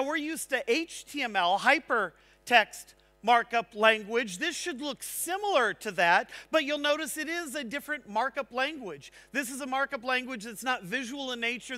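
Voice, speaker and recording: Man, conference speaker, energetic tech talk, high pitch, sharp bright tone, stage mic. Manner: energetic, engaging, asks the audience "right?".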